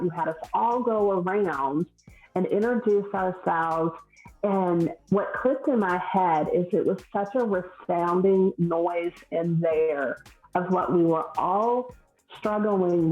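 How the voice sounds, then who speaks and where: A lower-voiced woman with a Southern US accent talks earnestly and haltingly, restarting her sentences often. Her voice sits in a roomy space and sounds dull and lo-fi. Faint music plays behind her. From Southern United States. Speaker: guest, hairstylist. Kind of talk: podcast conversation.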